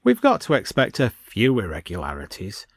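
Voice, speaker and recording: A man's voice: fairly low pitch, wildly swooping pitch, moderate pace, breathy edge, no room sound, studio quality, exaggerated voice. A man voicing a distracted, unruffled male village doctor in a story.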